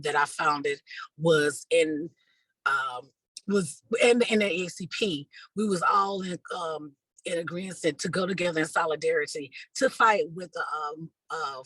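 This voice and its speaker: A woman with a husky voice answering interview questions as an activist and organizer, earnest and halting, often repeating words. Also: deep voice, fairly bright tone, no echo, poor audio.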